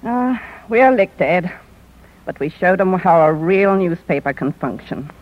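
Older woman publisher, wry and shrewd, lively intonation, muffled vintage radio drama sound. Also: on the low side, measured pace. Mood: wry, affectionate. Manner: dry, wry, theatrical. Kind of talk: 1950s American radio drama acting. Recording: dull vintage 1950s radio broadcast.

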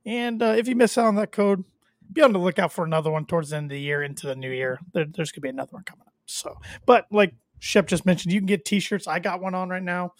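A man's voice: high voice, fairly even intonation, quick pace. A man co-hosting a wrestling review podcast, talking casually and a little nasally because he is fighting a cold. He rambles with filler words and restarts. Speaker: podcast co-host.

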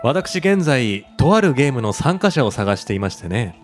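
An actor playing a polite, deliberate, persuasive corporate recruiter with sinister intent in a radio drama. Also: male voice, mid-range voice, sing-song, music in the background, lightly acted. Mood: insinuating. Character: smooth, insinuating male corporate recruiter for a sinister game. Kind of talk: Japanese radio drama acting.

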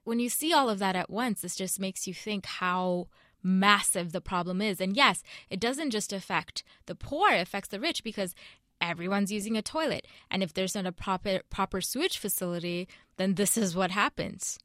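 A woman's voice: medium pitch, normal speed, a bit bright, dry recording. Earnest woman correspondent, dry sound. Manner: earnest, explanatory. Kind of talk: news interview.

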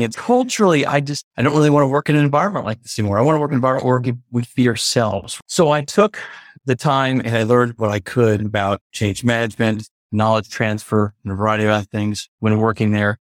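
A man's voice: medium pitch, fast, dry recording, clean studio sound. A man from the US East Coast, a podcast guest, talking earnestly and reflectively in a casual interview, stopping to add clarifications. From East Coast of the United States. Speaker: podcast guest, culture consultant.

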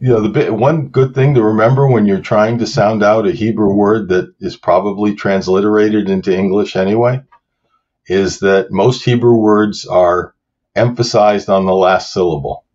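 A man with a fairly low voice tells stories and explains things at an easy pace, like a longtime teacher on a podcast. The sound is a bit dull and muffled. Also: fairly even intonation, moderate pace, darkish tone. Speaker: podcast guest, Hebrew teacher. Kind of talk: casual podcast conversation.

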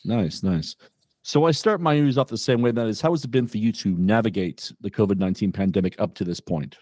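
A man hosting an interview podcast, asking questions briskly and formally. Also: on the low side, a little mellow, no room sound, studio quality. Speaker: podcast host.

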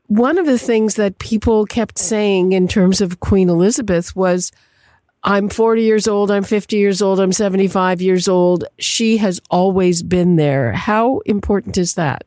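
Female radio host, unhurried, measured questioner, dry close sound. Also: medium pitch, dark tone, no room sound. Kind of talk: radio interview.

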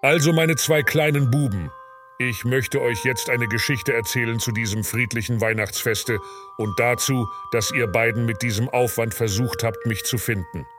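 A man voicing a kindly, gentle Santa Claus telling a story in an audio play. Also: mid-range voice, fairly even intonation, moderate pace, over music, close to an everyday voice. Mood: kindly, soothing. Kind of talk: comic audio play character.

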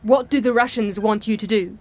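A woman's voice: mid-range voice, steady tone, a little fast, darkish tone, lightly acted. A woman playing a skeptical British agent in an audio drama, with a dry, wry way of talking. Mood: questioning.

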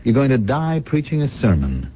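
An actor playing a scheming son-in-law after a fortune in a radio drama, glib and sardonic. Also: male voice, medium pitch, sing-song, normal speed. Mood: smug, mocking. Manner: sardonic, glib, smooth-talking. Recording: dull, noisy vintage radio recording.

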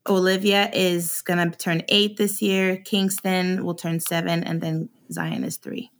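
A woman's voice: fairly low pitch, expressive, measured pace. A woman in her thirties from Sweden, a podcast guest talking openly and casually with frequent laughs and fillers. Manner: open, laughs easily, many fillers.

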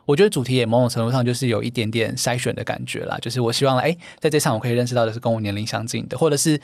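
Fast-talking Taiwanese man, casual and wordy. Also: mid-range voice, gravelly, no echo. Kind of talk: casual interview chat, anecdotal.

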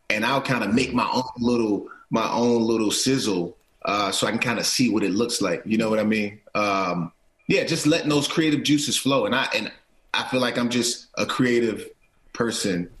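A man's voice: fairly low pitch, a bit bright. Earnest man in an echoey room. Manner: earnest, repeats and restarts phrases, says "right?" often. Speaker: guest, actor and producer. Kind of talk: casual interview talk.

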